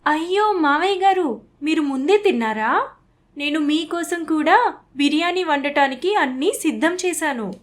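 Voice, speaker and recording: A woman's voice: sits high, animated, unhurried. A woman voicing a breezy young city daughter-in-law in a cartoon family story. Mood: eager hostess.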